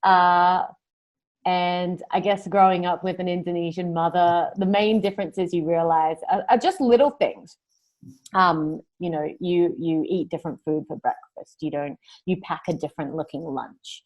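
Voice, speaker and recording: A woman's voice: on the low side, fairly even intonation, normal speed, darkish tone, muffled recording. A woman in her thirties from New Zealand and Australia, talking as an interview guest in a rambling way, with many fillers and restarts. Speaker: guest, singer-songwriter. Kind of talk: casual interview conversation.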